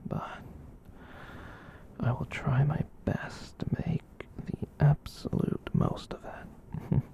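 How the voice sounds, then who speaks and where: Gentle giant catboy someone acting it whispering asmr comfort, soft dark tone, really slow soothing rambles. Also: mid-range voice, wildly swooping pitch, exaggerated voice. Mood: tender, shy. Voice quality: breathy whisper. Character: gentle giant catboy, soothing, reassuring, shyly self-conscious.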